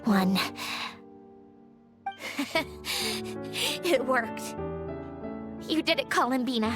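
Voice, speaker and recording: A woman playing a weary, sardonic, damaged puppet scientist in a video game, speaking in dry, clinical, halting phrases. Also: a little high, lively intonation, music in the background. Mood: shaken, wondering. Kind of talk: dramatic video game voice acting.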